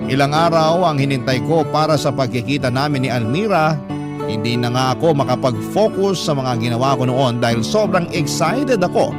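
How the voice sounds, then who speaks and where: Male drama narrator over clear music. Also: on the high side. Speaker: narrator telling a letter-sender's love story. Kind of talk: first-person scripted radio drama narration.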